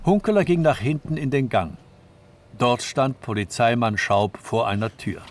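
A man's voice: medium pitch, lively intonation, moderate pace. A man narrating a crime story for a radio drama in a scripted, storytelling style.

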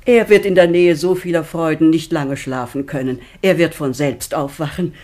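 An actress playing an impassioned, tender and anxious mother in a classic German radio drama, breathy and declamatory. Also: sits low, steady tone, moderate pace, darkish tone, lightly acted. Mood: joyful, insistent. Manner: emotive, declamatory.

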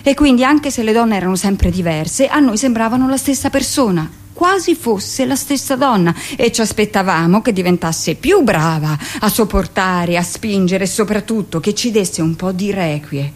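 Actress as middle-aged female midwife, bitter, self-justifying, confessional, insistent delivery, medium pitch, radio drama monologue. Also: moderate pace, few pauses, lightly acted. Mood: indignant, aggrieved. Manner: insistent, expressive storytelling.

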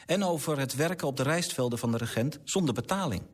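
An actor as Stern, earnest, animated, husky man. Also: mid-range voice, fast, natural voice. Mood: grave, narrating. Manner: earnest, articulate, formal. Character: Stern, earnest, idealistic male clerk, politely persistent. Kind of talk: radio drama acting.

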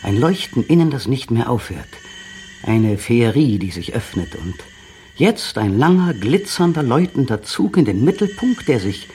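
A man's voice: medium pitch, big pitch swings, normal speed, warm tone, slight background noise, natural voice. An actor playing a brooding man in a radio drama, narrating his memories in an inner monologue that sometimes rises to fevered intensity. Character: brooding man recalling a still afternoon.

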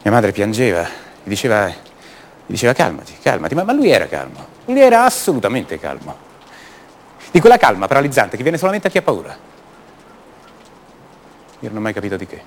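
An actor playing a passionate, rebellious young poet from a rich family in a radio theatre monologue, confiding and halting, repeating his words. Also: fairly low pitch, wildly swooping pitch, slight background noise. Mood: brooding.

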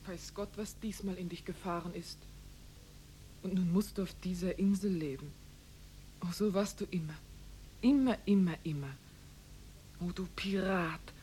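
A woman's voice: fairly low pitch, unhurried, long pauses, mellow, natural voice. An actress playing an exasperated, yearning woman addressing an absent man with intimate theatrical reproach in a 1950s German radio play. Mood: reproachful, longing. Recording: noisy, humming 1950s radio recording.

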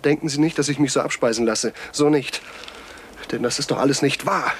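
An actor playing a stubborn, suspicious explorer in a 1970s German radio drama, speaking in terse, probing phrases. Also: male voice, mid-range voice, brisk, slight background noise, natural voice. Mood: accusing. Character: stubborn, suspicious male explorer alone on a deserted island.

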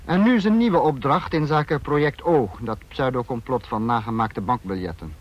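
An actor playing a calculating dictator in a Dutch radio drama, speaking in a measured, authoritative way. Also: male voice, medium pitch, natural voice. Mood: pensive, calculating. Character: calculating male dictator, the Protector of a fascist state. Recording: dull vintage radio recording.

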